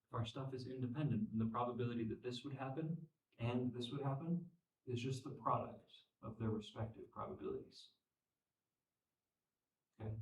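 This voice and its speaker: A young man teaching statistics at a classroom whiteboard, losing his voice and clearing his throat, repeating phrases and checking understanding. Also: mid-range voice. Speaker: statistics instructor. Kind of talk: classroom lecture. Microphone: far camera. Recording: echoey room, from far off.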